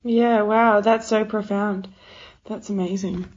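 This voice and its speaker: A woman with a mid-range, lively voice conducts a conversational interview. She is encouraging and affirming toward her guest. The sound is a little dull and lo-fi. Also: medium pitch, slow pace.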